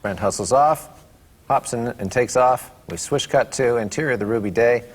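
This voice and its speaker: A man's voice: mid-range voice, moderate pace, big-room sound. A man reading script directions aloud at a table read. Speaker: stage-direction reader.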